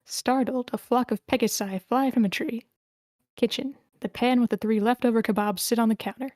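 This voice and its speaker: A woman's voice: a little high, fairly even intonation, moderate pace, darkish tone, dry recording, studio quality. A woman reading stage directions as narrator, in scripted narration at a screenplay table read.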